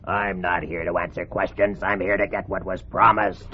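An actor playing an ugly, demanding talking frog in a radio fairy tale, gruff and bossy, with croaking ribbits. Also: male voice, low voice, little pitch movement, moderate pace. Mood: gruff, impatient. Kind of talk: old-time radio fairy tale acting. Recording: dull, noisy old radio recording.

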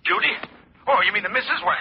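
Breathless bumbling phone caller, highish jumpy voice, muffled old radio drama. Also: male voice, lively intonation, quick pace. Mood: flustered, evasive. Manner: flustered, stammering. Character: evasive, nervous, bumbling male acquaintance on telephone. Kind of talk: 1940s radio acting. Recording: dull, noisy old radio phone call.

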